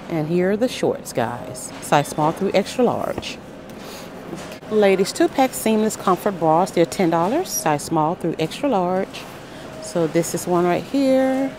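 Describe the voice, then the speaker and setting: Woman shopping vlogger, unhurried and animated, phone-recorded in a noisy store. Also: on the low side. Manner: upbeat, listing items and prices with little exclamations. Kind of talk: shop-with-me price walkthrough. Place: aisles of a large grocery store, indoors. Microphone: handheld phone camera.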